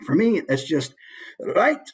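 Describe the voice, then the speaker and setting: A man's voice: medium pitch, expressive, normal speed, husky. Male voice coach, informal teaching delivery, a touch of huskiness in the tone. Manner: demonstrates points with brief put-on voices. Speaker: voice actor giving a coaching presentation. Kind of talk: informal instructional presentation.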